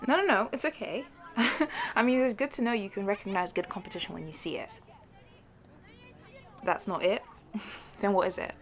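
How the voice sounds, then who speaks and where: A woman's voice: medium pitch, a little hiss or hum, close to an everyday voice. A young woman playing a sporty, competitive childhood best friend in an audio roleplay, with a playful, teasing, slightly hesitant manner. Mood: playful, challenging. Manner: playful, teasing, with hesitant halting starts and giggles. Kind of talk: audio roleplay acting, one-sided conversation with listener.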